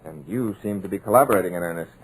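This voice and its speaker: An actor playing a wry, defiant trapped writer in a 1940s radio horror drama, sardonic and at times hesitant. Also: male voice, sits low, very fast, husky. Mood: sardonic bravado. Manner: sardonic, hesitant, then taunting. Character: wry, defiant trapped male writer, nervy but bold. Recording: muffled old-time radio recording.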